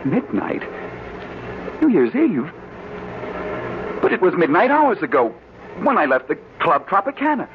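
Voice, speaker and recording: A noisy, muffled old radio drama recording carries a hard-drinking man who has lost his memory. He is anguished and bewildered, his pitch leaping about as he pleads in full 1940s melodrama. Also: wildly swooping pitch, unhurried, long pauses, heavily acted. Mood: bewildered, indignant. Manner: emotional, melodramatic.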